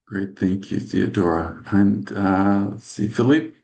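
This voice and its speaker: A man's voice: on the low side, unhurried, vocal fry, a little mellow. A man hosting a video meeting, calling on people in turn and stammering with repeated words and restarts. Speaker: meeting host. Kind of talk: hosting round of introductions.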